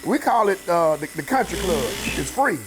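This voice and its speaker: A man, a barber, giving relaxed interview chat about his shop. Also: high voice, moderate pace, music in the background, rough recording.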